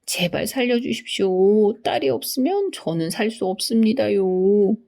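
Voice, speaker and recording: Storybook peasant father, read by a woman: humble, frightened, tearfully begging, mellow tone. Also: medium pitch, a little slow, creaky, voice pushed up. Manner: deferential, fearful, tearful pleading. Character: poor, timid farmer, devoted father, humbly pleading.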